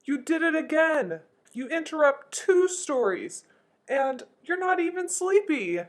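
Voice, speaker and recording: A woman's voice: high voice, lively intonation, a little slow, pitched above the performer's own voice. A woman voicing a tired, patient father chicken reading bedtime stories in a children's picture book read-aloud. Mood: weary, chiding.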